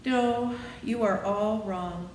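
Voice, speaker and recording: A woman's voice: medium pitch, takes it slowly, darkish tone, roomy sound, big-room sound, slight background noise, voice pushed up, natural voice. A woman voicing a meek little humbug wizard, a trembling, apologetic man in a storybook reading. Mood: meek, admitting. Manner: meek, pleading.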